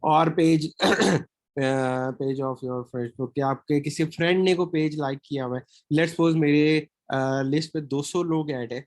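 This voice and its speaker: Hoarse man teaching a class. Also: fairly high pitch, no room sound. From Pakistan. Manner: explanatory, checks in with "theek hai?" often. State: sore throat. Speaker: marketing trainer. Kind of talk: recorded lecture.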